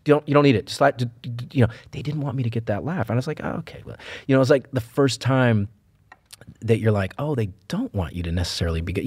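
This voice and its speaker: A man's voice: mid-range voice, no echo. A man, an actor guest on a podcast, telling stories in casual chat, acting out quotes and laughing often. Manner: animated storyteller, acts out quotes, laughs often.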